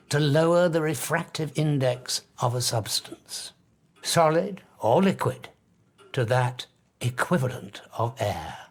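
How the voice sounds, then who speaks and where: Obsessive grandiose scientist, audiobook drama. Emphatic, deliberate lecturing with dramatic pauses, slightly high voice. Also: male voice. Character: obsessive, grandiose male scientist, arrogant and intense.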